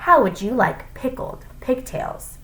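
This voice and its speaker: A woman voicing a snappish, menacing schoolteacher with a sharp, demanding manner in a children's storybook. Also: sits high, big pitch swings, unhurried, slight background noise, voice pushed up, full character voice.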